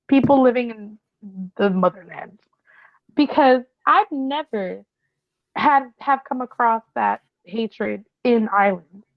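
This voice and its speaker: A woman co-hosting a podcast who explains history in a run-through way and answers her co-host with quick agreement. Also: a little high, vocal fry, dry recording, lo-fi sound. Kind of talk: casual chat.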